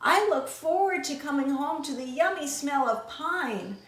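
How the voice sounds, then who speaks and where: A woman's voice: high-pitched, lively intonation, unhurried, fairly bright tone, roomy sound. A woman voicing a skeptical, earnest little boy with a doubtful, matter-of-fact manner, in a storybook. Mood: earnest objection. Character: skeptical little brother who objects earnestly.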